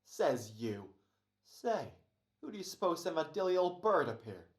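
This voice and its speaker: An actor playing a tired, wisecracking but soft-hearted radio actor in an old-time American radio comedy. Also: mid-range voice, sing-song, unhurried. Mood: weary, grumbling. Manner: weary, sardonic, slangy.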